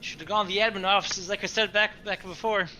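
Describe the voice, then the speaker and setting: A man's voice: high-pitched, fairly even intonation, brisk, sharp tone, slight background noise. A man chatting as a tabletop game player, questioning and protesting to the game master. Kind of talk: casual game-table chat. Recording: faint music behind the voice.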